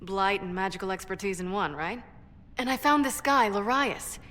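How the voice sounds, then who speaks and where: A woman's voice: mid-range voice, animated, normal speed, a bit bright, natural voice. An actress playing a proud, defiant inventor in a video game, blunt and defensive about her own mistake. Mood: defensive, earnest. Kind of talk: video game dialogue acting. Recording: long hall reverb, faint ambient noise.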